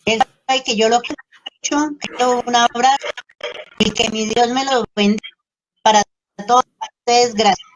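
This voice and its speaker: A woman's voice: fairly high pitch, steady tone, normal speed, pauses often, low-quality recording. A woman giving personal testimony earnestly, in simple, broken phrases. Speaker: guest giving testimony, human rights worker.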